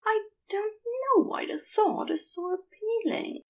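A woman's voice: very high-pitched, animated, slow, far from the mic, voice pushed up, heavily acted. A woman voicing an anxious, fussy, tender male angel from a story, speaking hesitantly. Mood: sheepish, hesitant. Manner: hesitant, hushed, nervous.

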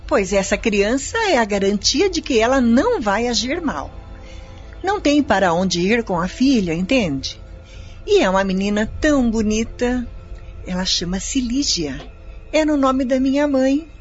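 An actress in a radio drama playing a charitable lady of the house, speaking gently and patiently. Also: on the high side, very animated, unhurried. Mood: gentle. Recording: muffled radio play with music.

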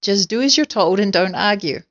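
A woman voicing a bossy, excitable male monkey ringleader in a storybook, giving urgent orders. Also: mid-range voice, normal speed, lo-fi sound, natural voice. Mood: impatient, snappish. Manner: urgent, shouted commands.

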